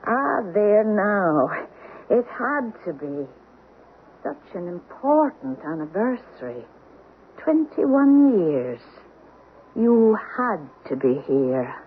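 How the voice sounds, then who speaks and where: A woman's voice: medium pitch, very animated, takes it slowly, a little hiss or hum. An actress playing a fey, insistent Irishwoman with an Irish accent in an eerie radio drama. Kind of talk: 1970s radio drama acting. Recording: muffled narrow old radio broadcast.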